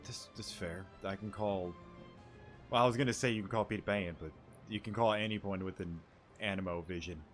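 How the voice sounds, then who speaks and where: A man's voice: lowish voice, a little fast, a bit bright. A young man giving casual, joking, offhand commentary as he plays, with game music behind him. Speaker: gaming streamer. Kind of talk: casual reaction commentary over gameplay.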